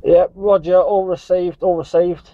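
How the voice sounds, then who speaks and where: A man's voice: high-pitched, flat delivery, measured pace, no echo. A man from Northumberland in England chatting in a friendly way as a CB radio operator, using radio jargon. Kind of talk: casual radio contact chat. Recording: dull, muffled radio audio.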